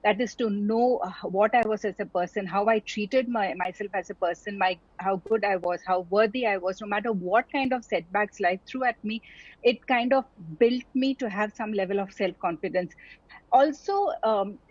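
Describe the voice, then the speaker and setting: A woman's voice: mid-range voice, normal speed, a little mellow, no room sound. A middle-aged woman from India, a doctor, talking earnestly as an interview guest, with many fillers and restarts. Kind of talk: reflective interview conversation. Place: online town hall talk. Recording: dull, lo-fi audio.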